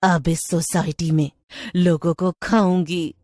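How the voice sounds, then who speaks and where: A woman voicing a menacing witch who poses as a new neighbour, taunting slowly in a cartoon horror story. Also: fairly low pitch, fairly even intonation, a little mellow, dry recording, studio quality. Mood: cold, ominous. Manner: taunting, slow and deliberate threats.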